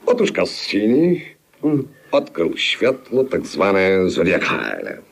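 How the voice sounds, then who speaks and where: A very low, husky male voice that swoops dramatically up and down. a grandiose, sly professor-inventor in an old radio play, confiding secrets with great self-importance. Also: wildly swooping pitch, slow pace, exaggerated voice. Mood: pompous, eager. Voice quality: gravelly, husky. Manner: grandiloquent, theatrical, mock-secretive. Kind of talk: 1950s grotesque radio play acting.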